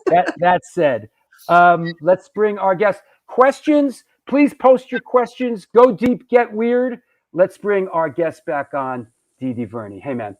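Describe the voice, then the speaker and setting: High-voiced rambling male host. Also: takes it slowly. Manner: rambling, joking, loose. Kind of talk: live show casual chat.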